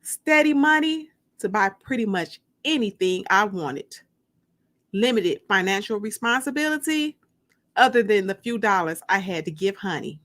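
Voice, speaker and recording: A woman hosting a livestream as an author, chatting and reading dramatically from a book with drawn-out, emphatic delivery. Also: mid-range voice, lively intonation, a bit bright. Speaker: host and author.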